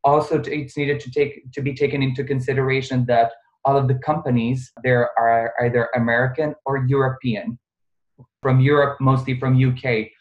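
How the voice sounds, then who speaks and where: A man's voice: mid-range voice, little pitch movement, moderate pace, highs cut off. A man in his twenties from Bosnia, speaking English with a Bosnian accent as an interview guest, earnest and full of phrases like "truth be told". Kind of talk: casual interview.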